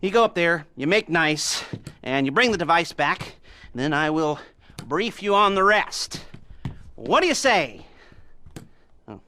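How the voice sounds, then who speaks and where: A man's voice: on the high side, sing-song, measured pace, pauses often, pushed and bright, a little hiss or hum, full character voice. An actor playing a sardonic male heist mastermind who briefs his crew with wheezy chuckles and sarcastic asides. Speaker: heist planner.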